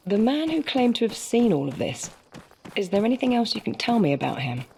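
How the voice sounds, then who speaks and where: A woman's voice: on the high side, big pitch swings, a little fast, gravelly, noisy background. An actress playing a female interviewer who asks probing, businesslike questions in a video game.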